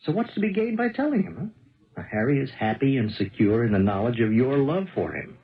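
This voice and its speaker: On a dull old radio recording, a meddling brother in a 1970s drama. His pitch moves in a lively way as he probes and persuades, colloquial, shrewd and insistent. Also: a little fast. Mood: persuasive.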